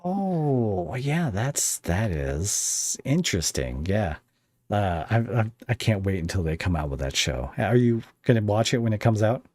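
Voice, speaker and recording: A man's voice: on the low side, sing-song, unhurried, darkish tone, dry recording. A man hosting a podcast, interviewing a guest in a casual, conversational way.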